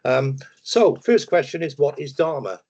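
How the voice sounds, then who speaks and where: A man's voice: a little high, a little slow. Older Welsh man giving a chatty webinar talk. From Wales. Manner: relaxed, chatty, self-deprecating humour with many ums and uhs. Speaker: webinar presenter. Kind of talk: presentation over a video call. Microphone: video call microphone. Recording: muffled video call audio.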